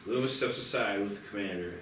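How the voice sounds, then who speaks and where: A man's voice: lowish voice, quick pace. Distant echoing man reading screenplay directions. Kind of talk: scripted narration at a table read. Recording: echoey, distant mic, loud noise.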